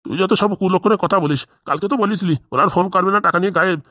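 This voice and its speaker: A woman playing a grumpy, defensive older village husband in a comedy cartoon, gruff and quarrelsome. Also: fairly low pitch, rapid-fire, fairly bright tone, no room sound, studio quality. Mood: irritated, defensive.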